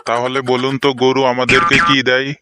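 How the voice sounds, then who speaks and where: A man's voice: medium pitch, monotone, a little fast, heavy background noise, natural voice. A man playing a stern, exasperated job interviewer in a comic cartoon, questioning formally and scolding.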